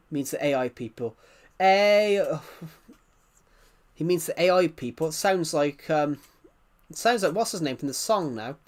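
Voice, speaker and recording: Male livestream host, slightly high-pitched, casual gamer chat, rambling and stuttering as he reads out comments. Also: lively intonation, slow, pauses often.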